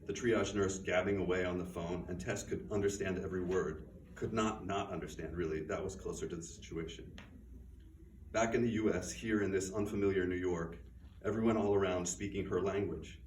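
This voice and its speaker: A man, an author, reading his own fiction aloud at a bookstore event in a measured, subdued way. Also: deep voice, steady tone. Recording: roomy, distant, faint noise.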